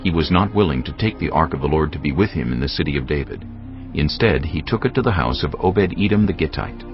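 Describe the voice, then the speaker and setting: A man narrating scripture in a solemn, measured storytelling style, with a gravelly voice. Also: a bass voice, expressive. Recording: dull, lo-fi audio. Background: faint music.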